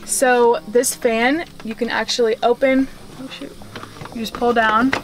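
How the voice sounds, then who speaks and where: A woman's voice: on the high side, flat delivery, measured pace, low-quality recording. A young woman in her twenties giving a casual tour of her small converted van, chatty and full of "like" and "um", filmed on a handheld camera. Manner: chatty, lots of "like" and "um". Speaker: vlogger. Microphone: handheld wide-angle camera.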